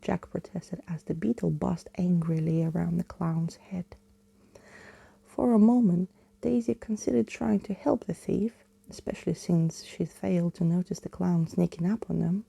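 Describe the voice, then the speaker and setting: A woman reading a storybook and chatting softly as an ASMR host, in a hushed, breathy, soothing whisper close to the microphone. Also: fairly low pitch, steady tone, a little slow, no echo.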